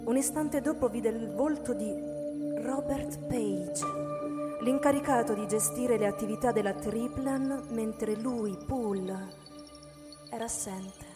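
A woman's voice: on the high side, slow. A woman reading scripted literary narration as the narrator of a radio drama. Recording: long hall reverb under loud music.

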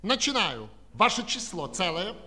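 An actor playing a proud, playful talking automaton with a theatrical, declamatory manner in a Soviet children's audio play. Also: male voice, sits high, very animated, moderate pace, bright, forceful tone, heavily acted. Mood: brisk, commanding. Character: boastful, theatrical talking computer automaton, lively showman. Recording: 1960s studio recording, long reverb, music.

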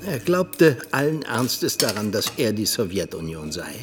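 An actor playing an embittered, world-weary older Soviet ex-agent and mentor in a radio drama, speaking emphatically. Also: male voice, fairly low pitch, big pitch swings, measured pace, background noise. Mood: grave, bitter. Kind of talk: radio drama acting, monologue-like confession.

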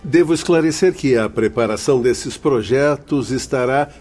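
Husky, mellow spirit instructor played by a man. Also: medium pitch, moderate pace, close to an everyday voice. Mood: sober, explanatory. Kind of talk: radio drama acting. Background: faint background music.

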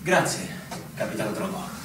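An actor playing an eager, brisk male army officer, with urgent and clipped delivery in a theatrical radio drama. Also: close to an everyday voice. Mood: respectful, awkward. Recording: very echoey, distant, slightly noisy stage recording.

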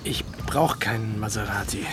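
Radio drama: man to play a guarded, dry lecturer, low-pitched, clipped questions, natural delivery, music underneath. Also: normal speed, lightly acted. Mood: wary, irritated. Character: wary, dry-witted husband or partner, a lecturer.

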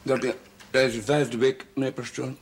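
A man's voice: mid-range voice, flat delivery, fairly quick, lightly acted. Nervous, stammering young chaplain, played by a man. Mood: nervous, eager. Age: young adult. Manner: hesitant, stammering, apologetic. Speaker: actor in a television drama series. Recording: faint background noise, old television audio.

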